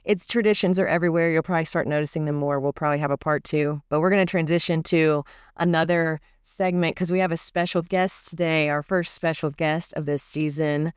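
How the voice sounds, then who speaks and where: Relaxed young man hosting a podcast. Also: a little high, brisk, hardly any pauses, smooth, dry recording, clean studio sound. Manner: relaxed, friendly, informal. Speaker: podcast host. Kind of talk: casual podcast chat.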